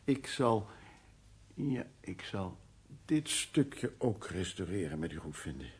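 A man's voice: low voice, very animated, slow pace, husky, heavily acted. An actor in a naturalistic radio play, playing a brusque middle-aged Dutch art restorer who muses and hesitates over his words. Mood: reserved, hesitant. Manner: hesitant, repeating words, musing. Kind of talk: naturalistic radio drama acting.

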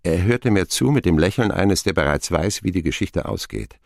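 Radio drama narrator: wistful man looking back on boyhood, gravelly, highly expressive. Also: moderate pace, no echo, clean studio sound, heavily acted. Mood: wry. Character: grown man wistfully recounting his abandoned boyhood.

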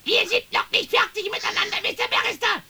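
A woman's voice: very high voice, brisk, sharp tone, full character voice. A woman voicing a sly, mocking crow with false courtesy in a German radio play. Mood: mocking, threatening.

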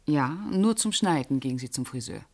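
An actress playing a pointed, suspicious witness under questioning in a radio crime drama. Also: female voice, very deep voice, expressive, moderate pace, a little mellow, full character voice. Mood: guarded, prickly. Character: pointed, suspicious female witness, friend of the victim. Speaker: actor.

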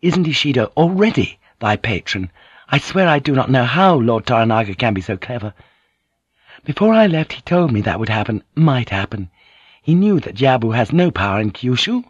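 A man's voice: medium pitch, sing-song, moderate pace, breathy edge, dry recording, dull audio. A man voicing a devoted, proud young noblewoman, tender yet fiery, with formal, archaic, earnest speech in an audiobook. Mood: loving. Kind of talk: audiobook character dialogue.

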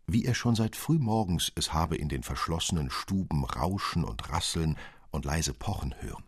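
A man narrating a literary fairy tale as a storyteller, measured and expressive. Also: very deep voice, slightly rough, dry recording, studio quality.

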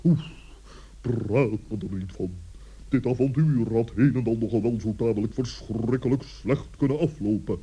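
A man as a pompous, dark-voiced old-fashioned bird. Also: medium pitch, husky. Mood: fussy, solemn. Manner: pompous, wordy, deliberate. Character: pompous male talking bird, solemn and fussy. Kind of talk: children's radio play acting. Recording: dull lo-fi old recording.

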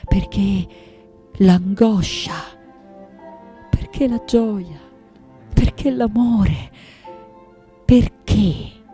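An actress playing a reflective, anguished and defiant artist in her late nineties in a theatrical, deliberate radio monologue. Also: lowish voice, warm tone. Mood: anguished questioning. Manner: theatrical, deliberate, dwelling on repeated words. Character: 98-year-old woman artist, intense, reflective, anguished, defiant.

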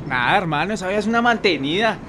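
A man's voice: high-pitched, expressive, rapid-fire. Man acting a bitter jilted young Colombian guy, street noise. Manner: slangy, complaining. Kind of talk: radio soap acting.

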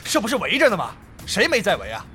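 A scheming online-game guild leader in an audio drama. He is hot-tempered and argumentative, with a slightly high, lively voice over prominent music. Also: fast, exaggerated voice. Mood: testy, defensive. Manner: calculating, argumentative. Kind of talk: Chinese audio drama acting.

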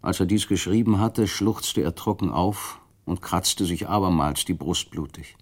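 A man narrating a literary radio play, measured and expressive, rising with the drama. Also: deep voice.

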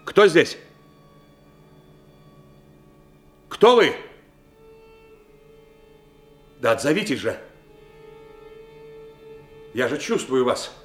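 A male actor playing a composed, thoughtful doctor turned detective in a Russian radio play, speaking in a deliberate, measured way. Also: medium pitch, big pitch swings, soft background music. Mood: alarmed. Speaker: lead actor, also voicing his thoughts.

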